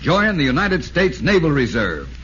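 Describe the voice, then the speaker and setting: Animated male 1940s radio announcer. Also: on the high side, normal speed. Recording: dull, lo-fi old radio, faint noise.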